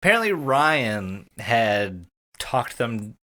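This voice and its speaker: A man's voice: mid-range voice, sing-song, slow pace, bright, forceful tone. A young man co-hosting a podcast, telling stories casually with swearing and jokes, acting out the dialogue as he goes. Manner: profane, jokey, acts out dialogue. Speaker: podcast co-host. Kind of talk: animated casual storytelling banter.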